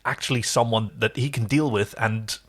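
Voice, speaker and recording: A man's voice: lowish voice, moderate pace, breathy edge. A man co-hosting a podcast, casually and thoughtfully discussing a TV episode. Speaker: podcast co-host.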